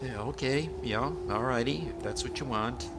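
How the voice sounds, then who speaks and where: A man's voice: medium pitch, normal speed, lightly acted. A man playing a friendly, chatty dock worker with a folksy, easygoing manner in an old-time radio drama. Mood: obliging. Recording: noisy amateur live stage recording.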